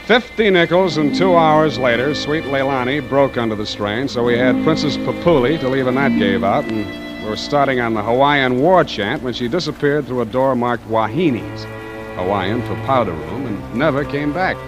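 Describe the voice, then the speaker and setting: Wry hardboiled radio detective, played by an actor. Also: male voice, medium pitch, normal speed, natural voice. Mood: wry narrating. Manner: wry, deadpan, clipped wisecracks. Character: wisecracking hardboiled private detective narrating his case. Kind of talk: 1940s radio noir narration and acting. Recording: vintage radio recording with music.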